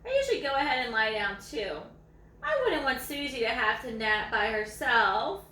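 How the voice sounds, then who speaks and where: Woman reading as a lazy old hound dog, high-voiced. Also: very animated, normal speed, sharp tone, reverberant, recorded from a distance, slight background noise. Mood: matter-of-fact. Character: lazy, self-important old male ranch hound dog.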